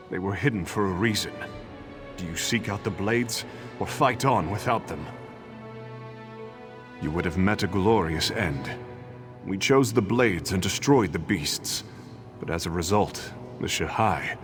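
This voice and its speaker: A man voicing the burdened spirit of a legendary warrior in a fantasy game, grave and formal. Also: deep voice. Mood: grave, urgent, then sorrowful. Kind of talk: fantasy video game acting.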